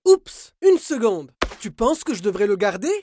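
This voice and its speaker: A woman voicing a fussy male dog hairdresser in a children's cartoon, with deliberate, particular delivery. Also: mid-range voice, sing-song, dark tone, husky, no echo, clean studio sound. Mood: fussy, doubtful. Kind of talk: animated children's cartoon dub. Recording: music behind the voice.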